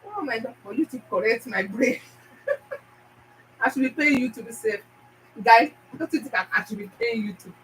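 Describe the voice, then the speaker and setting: A Nigerian-accented woman talks straight to her viewers in a fast, emphatic way, often repeating herself. Her voice sits a little high. She sounds set back from the mic, with faint noise behind her. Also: expressive, a bit bright, far from the mic, poor audio. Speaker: YouTube channel host. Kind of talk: casual motivational talk to viewers.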